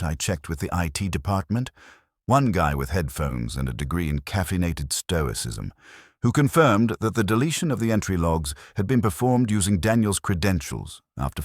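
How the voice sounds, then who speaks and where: A man's voice: sits low, dry recording. A man narrating a first-person detective story in a wry, dry-humoured way. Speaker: audiobook narrator.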